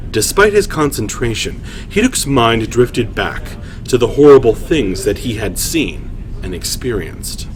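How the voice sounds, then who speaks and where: A man hosting a story reading, shifting between informal, halting chat and measured storytelling narration. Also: medium pitch. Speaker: writer and host reading his own story aloud. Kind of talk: livestream story reading, narration with casual asides.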